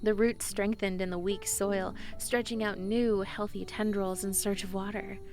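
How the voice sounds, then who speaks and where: A woman's voice: mid-range voice, level tone, moderate pace, darkish tone, lightly acted. A woman playing a shy, eager young druid in a romantic audio drama, with an earnest manner. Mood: eager, tender. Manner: earnest, stammering when flustered in dialogue. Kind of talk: romantic audio drama, first-person narration and dialogue. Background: music.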